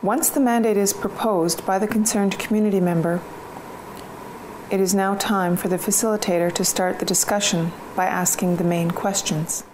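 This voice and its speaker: A woman's voice: mid-range voice, steady tone, moderate pace, poor audio. Female narrator, faint background noise. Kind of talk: scripted explanatory narration.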